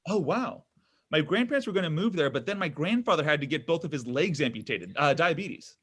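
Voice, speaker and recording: Guy doing a pushy whiny date guy, bright voice, bouncy pitch. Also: expressive, a little fast, breathy edge. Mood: awkward, defensive. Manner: whiny, defensive, over-explaining. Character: needy, pushy thirty-something man on a date. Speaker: actor playing William. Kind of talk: table read of a comedy pilot.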